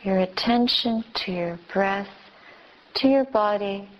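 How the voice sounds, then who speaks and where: Slow-speaking woman guiding meditation. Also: medium pitch. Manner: gentle instruction in short, spaced phrases. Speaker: meditation teacher. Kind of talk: guided meditation. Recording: dull, lo-fi recording.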